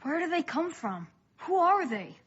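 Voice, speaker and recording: A woman playing an earnest, anxious, curious young boy in a radio play, husky, with urgent questioning. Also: extremely high pitch, sing-song, a little slow, full character voice. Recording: dull, muffled lo-fi radio play.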